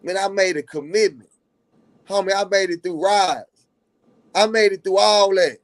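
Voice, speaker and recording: A man's voice: sits high, moderate pace. A man from Dallas, talking earnestly in a casual live stream and repeating himself for emphasis. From Dallas, Texas.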